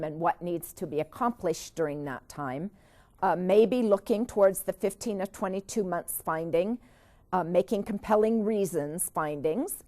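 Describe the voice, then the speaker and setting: A woman giving a training presentation on court hearings, putting one question after another to the audience, with frequent "uh" fillers. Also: on the low side. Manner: steady, with many "uh" fillers and a run of questions to the audience.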